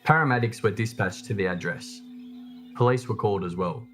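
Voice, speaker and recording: A man reading scripted true crime documentary narration in a measured, matter-of-fact way. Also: on the low side, fairly bright tone, soft background music.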